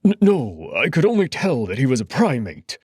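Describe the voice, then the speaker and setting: A man's voice: mid-range voice, wildly swooping pitch, moderate pace, darkish tone, slightly rough, dry recording, studio quality. A man voicing a timid, frightened old wolf doctor in a visual novel, stammering and hesitant. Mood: nervous.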